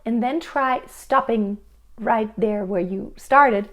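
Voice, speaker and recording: A woman's voice: on the high side, takes it slowly, very noisy. A woman teaching singing, explaining technique in a friendly, patient way and answering a viewer's question. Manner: friendly, explanatory, with sung demonstrations. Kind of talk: vocal coaching tips to camera.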